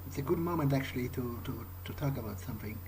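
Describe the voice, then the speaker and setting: A man improvising in character during a game playthrough, speaking in a halting way and repeating words. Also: medium pitch. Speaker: gamer roleplaying his character. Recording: faint background noise.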